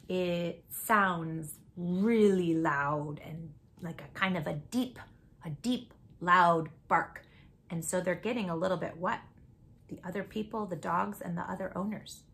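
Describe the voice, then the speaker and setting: A woman's voice: mid-range voice, fairly bright tone. A woman hosting a children's read-aloud, narrating a storybook expressively and putting questions to her young listeners.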